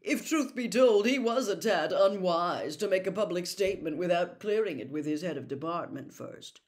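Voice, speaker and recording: A pompous young official from a storybook, put on by a woman. He is priggish and formal, pushing out his words loudly at a raised pitch. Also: lively intonation, normal speed, lightly acted. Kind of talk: storybook character voice.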